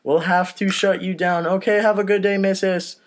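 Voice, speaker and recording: A man playing a pushy bank official in a comedy script read, stiff and halting as if reciting from notes. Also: high voice, flat delivery, pitched above the performer's own voice, natural voice. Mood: officious, stern. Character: pushy male bank official threatening to foreclose.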